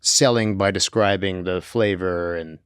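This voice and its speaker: A man's voice: sits low, a little slow, clear, resonant tone, no room sound, clean studio sound. A middle-aged man co-hosting a podcast in casual, jokey conversation. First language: Turkish. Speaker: podcast co-host. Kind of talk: casual chat.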